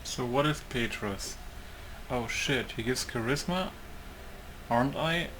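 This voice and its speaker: A man with a mid-range voice comments casually on a game, thinking aloud at a slow pace. There is clear noise behind him. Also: medium pitch. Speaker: gamer recording a let's play. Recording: noisy mic over game ambience.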